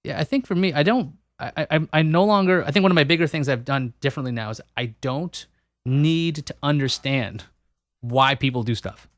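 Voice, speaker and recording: A man's voice: a little high, fairly quick, no echo. A man hosting a podcast interview, musing and thinking aloud with frequent restarts. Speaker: podcast host. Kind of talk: casual interview conversation.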